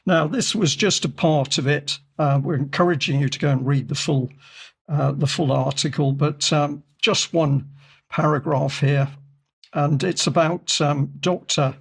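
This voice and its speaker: Croaky, husky studio commentator, measured delivery, fillers. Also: male voice, fairly high pitch, steady tone. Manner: measured, deliberate, many fillers. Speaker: regular commentator. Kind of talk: discussion commentary reading from articles.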